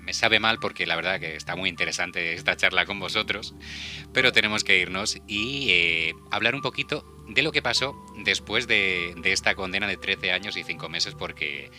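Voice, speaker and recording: A man with a Spain accent hosts a radio chat casually. His voice is low and strikingly bright and forceful, with soft music underneath. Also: normal speed. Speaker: radio show host. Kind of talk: casual panel chat and hosting.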